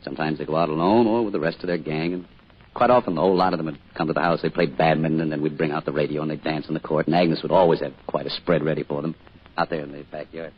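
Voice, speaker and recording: An actor playing a middle-aged American small-town father in an old-time radio drama, gruff but kindly, with a dry, folksy manner. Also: very deep voice, fairly even intonation, quick pace. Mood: wry, reminiscing. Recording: dull, hissy old radio recording.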